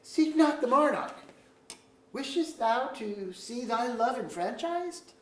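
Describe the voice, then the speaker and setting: Slow, scheming courtly earl played by a woman. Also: on the high side, expressive, slight background noise. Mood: persuasive, conspiratorial. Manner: formal declamatory archaic diction. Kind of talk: staged reading of a mock-Shakespearean verse play. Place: museum auditorium staged reading. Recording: echoey auditorium.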